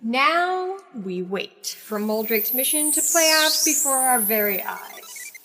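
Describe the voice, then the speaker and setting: A fairly high-voiced woman acting as a sardonic, bossy agent in a comedy audio play. She speaks briskly, and long reverb rings around her. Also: expressive, pushed and bright, faint music behind, full character voice. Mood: bossy, wry. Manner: brisk, sarcastic. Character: bossy, sardonic young female tech-savvy agent.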